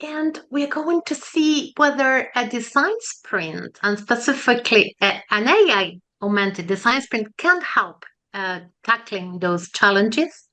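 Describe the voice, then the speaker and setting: A woman's voice: on the high side, animated, slow pace, sharp tone. A woman from Argentina, opening a webinar in a friendly way with some fillers. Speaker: webinar co-host, professor. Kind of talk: webinar presentation.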